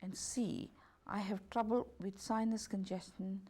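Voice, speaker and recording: A woman therapist reading out a self-assessment questionnaire, going through each option as A, B and C at an unhurried pace, with slightly non-native grammar. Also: mid-range voice, fairly even intonation, dark tone. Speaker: holistic health and beauty therapist.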